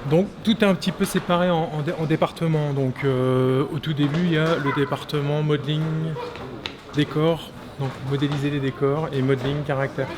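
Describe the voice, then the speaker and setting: A man's voice: on the high side, steady tone, a little fast, background noise. A man co-hosting a vlog, playful while explaining a studio tour. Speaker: vlog host. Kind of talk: casual chat. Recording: music behind.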